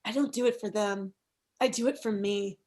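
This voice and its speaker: A woman's voice: medium pitch, moderate pace, darkish tone, close to an everyday voice. A woman playing a zealous, quirky, scheming campaign manager in a comedy script read. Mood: intense, matter-of-fact.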